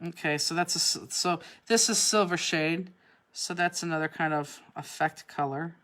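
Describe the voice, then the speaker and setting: A woman's voice: fairly low pitch, normal speed, fairly bright tone. Chatty Cleveland woman teaching a craft. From Cleveland, Ohio. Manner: chatty, answers viewer comments while working. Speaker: craft class host. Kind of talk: live online craft demonstration. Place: craft studio, indoors.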